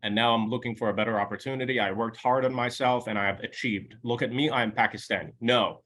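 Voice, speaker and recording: A man co-hosts a casual podcast discussion and argues his point with animated emphasis. He poses rhetorical questions and cuts in with quick denials. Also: medium pitch. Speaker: podcast co-host.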